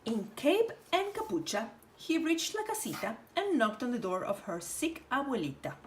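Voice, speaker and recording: A woman storyteller reading a children's picture book aloud in an animated, theatrical way. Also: a little high, normal speed, a little hiss or hum.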